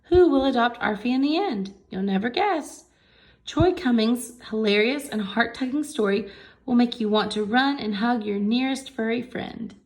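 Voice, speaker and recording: Higher-voiced woman reading a picture book aloud. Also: normal speed, darkish tone, low-quality recording. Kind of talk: expressive bedtime read-aloud with chatty asides.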